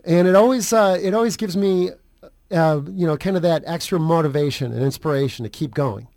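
Hoarse, higher-pitched talk radio host. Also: male voice, darkish tone, dry recording, clean studio sound. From Chicago area. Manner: joking, halting with many fillers. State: hoarse from a flu. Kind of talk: casual radio interview chat.

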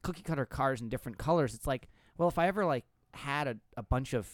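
A man's voice: mid-range voice, fast talker, no echo, clean studio sound. A man hosting a casual podcast, prodding his co-host with questions, often restarting his words. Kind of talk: casual interview chat.

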